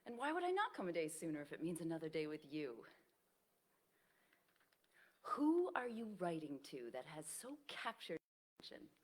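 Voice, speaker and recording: A woman's voice: lowish voice, very animated, normal speed, long pauses. An actress playing a loyal, witty, self-assured woman friend in a staged reading of a period drama, speaking formally and teasingly. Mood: affectionate.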